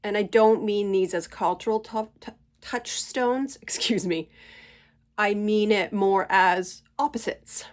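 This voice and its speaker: Slow-spoken woman, stuffy from a cold. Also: mid-range voice. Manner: gentle, unhurried, thoughtful pauses. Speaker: channel host. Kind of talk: slow reflective spiritual monologue. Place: at home.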